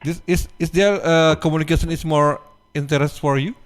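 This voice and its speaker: Guy radio host, halting english, smooth clear voice. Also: a little high, fairly even intonation. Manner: halting, broken phrasing. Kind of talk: radio interview.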